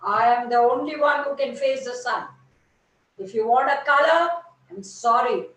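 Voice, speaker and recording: A woman's voice: a little high, normal speed, fairly bright tone, lightly acted. A woman voicing a proud, bossy sunflower that refuses to share the sun, speaking curtly in a children's story. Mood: dismissive. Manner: curt, dismissive. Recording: echoey room, mic far off.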